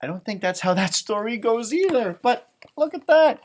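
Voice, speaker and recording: A man hosting a children's storybook read-aloud, expressive and playful, adding chatty asides and wondering aloud. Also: extremely high pitch, normal speed. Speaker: storytime read-aloud host, music teacher.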